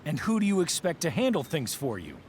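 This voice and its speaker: A man's voice: fairly high pitch, wildly swooping pitch, fairly quick, darkish tone, slight background noise. A man playing a confrontational, authoritarian middle-aged ex-soldier and father figure in a video game cutscene. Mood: angry, commanding. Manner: commanding, confrontational.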